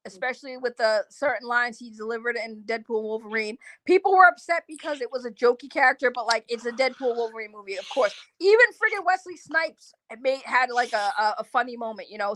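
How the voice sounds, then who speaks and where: Woman co-host, high bright voice, dry close sound, blunt and swears a lot. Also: expressive, fairly quick, no echo. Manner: blunt, profane, argumentative. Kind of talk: casual chat.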